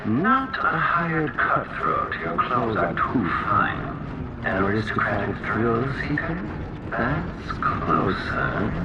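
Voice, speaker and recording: An actor playing a haughty, eccentric genius inventor villain in a video game, theatrical, grandiose and condescending, coolly menacing. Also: male voice, medium pitch, muffled recording, heavy background noise. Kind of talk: dramatic video game voice acting. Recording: game music over the voice.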